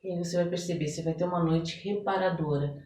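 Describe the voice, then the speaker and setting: Low-voiced Brazilian woman explaining, distant and echoey. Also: steady tone, fast, warm tone. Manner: didactic, repeats phrases for emphasis. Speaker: interviewed therapist and guest. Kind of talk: conversational explanatory interview talk. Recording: roomy echo, distant microphone.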